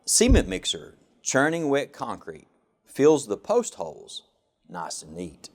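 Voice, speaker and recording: A man reading a children's picture book aloud with an expressive, sing-song rhyming delivery. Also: medium pitch, slow pace. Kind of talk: storybook read-aloud.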